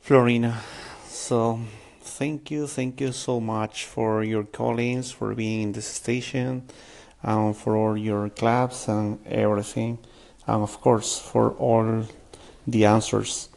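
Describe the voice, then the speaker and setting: A man's voice: fairly low pitch, level tone, takes it slowly, gravelly, darkish tone. A man hosting an interview, asking questions and thanking his guest in formal, slightly non-native phrasing. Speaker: podcast host.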